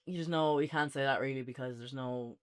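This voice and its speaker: A man's voice: mid-range voice, fairly quick, smooth. An Irish man speaking in casual, unscripted banter as a podcast co-host reviewing with a friend.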